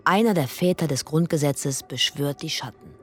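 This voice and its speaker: A woman's voice: sits low, normal speed, soft background music, lightly acted. A woman playing a precise, probing debater in a scripted philosophical radio-play dialogue.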